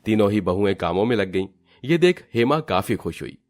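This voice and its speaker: A man serves as the storyteller for a cartoon family tale. His voice sits fairly low, and he reads scripted narration in a storybook style. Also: expressive, moderate pace, a little mellow.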